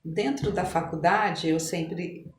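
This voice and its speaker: A mature Brazilian woman chatting as a podcast guest, telling stories in an animated but halting way that circles back on phrases. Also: lowish voice. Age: middle-aged or older. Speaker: podcast guest, doctor and amateur musician. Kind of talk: casual conversational storytelling in an interview. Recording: echoey room with some background noise.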